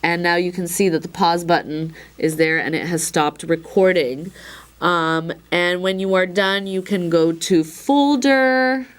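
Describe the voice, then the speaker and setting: A slightly hoarse woman giving a casual, chatty product demonstration, with frequent fillers and wry asides. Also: on the low side, a little slow. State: a little hoarse. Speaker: instructor demonstrating a recorder.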